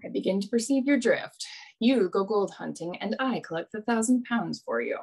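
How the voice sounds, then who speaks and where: An actress playing a shrewd, world-weary adventurer in a radio play, with a wry, sardonic manner. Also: female voice, mid-range voice, lively intonation, normal speed, darkish tone, breathy edge. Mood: shrewd, amused.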